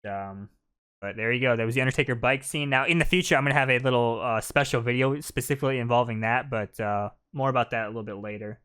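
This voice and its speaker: A man's voice: medium pitch, fairly even intonation, fast talker, a bit bright, smooth. A man giving casual, jokey live commentary as the host of a gaming video.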